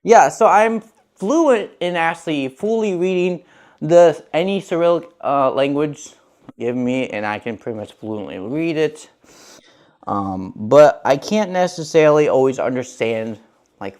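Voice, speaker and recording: A man's voice: fairly high pitch, animated, a little slow, a little mellow. A young man who grew up speaking Russian talks casually while cooking, rambling and restarting his sentences. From grew up speaking Russian, learned English at eight. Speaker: vlogger cooking on stream.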